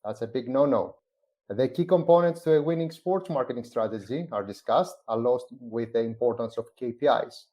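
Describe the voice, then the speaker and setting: A man from Greece, a company's chief growth officer, presenting a business book in a measured way with frequent "uh" fillers. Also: mid-range voice, dark tone. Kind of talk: semi-scripted presentation on a live stream.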